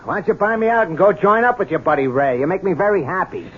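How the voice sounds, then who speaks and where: An actor playing a lazy, insolent, spiteful business partner, brash, slangy and sarcastic, in a 1970s radio drama. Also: male voice, high voice, animated, fast talker. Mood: irritated, sneering. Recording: dull, noisy old radio broadcast recording.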